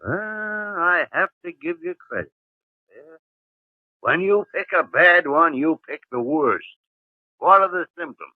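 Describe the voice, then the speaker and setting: A crotchety old chief doctor from a 1950 radio drama, fairly high for a man and swinging all over in pitch. He grumbles and barks at his staff. The sound is thin and tinny, like an old broadcast over a phone line. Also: lively intonation, a little slow, no echo. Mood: probing. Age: older. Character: gruff elderly male chief doctor, crotchety. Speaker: senior hospital doctor. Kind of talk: 1950s radio drama acting.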